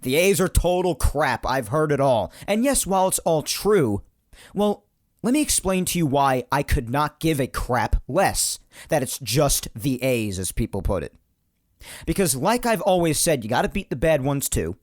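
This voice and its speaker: A man hosting his own sports podcast, chatty and rambling, his voice slightly hoarse from yelling earlier. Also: fairly high pitch, normal speed, no echo, clean studio sound. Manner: animated, chatty, rambling asides. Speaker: podcast host. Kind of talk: solo sports podcast monologue.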